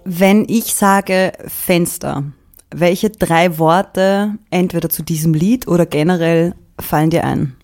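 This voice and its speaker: A woman's voice: on the low side, slow, darkish tone, faint music behind. A woman with an Austrian accent, a singer-songwriter talking casually and reflectively about creative work.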